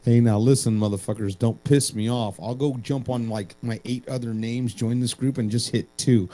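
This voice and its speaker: A man's voice: mid-range voice, steady tone, moderate pace, dark tone. An older man bantering casually on a livestream, rowdy and profane with mock indignation.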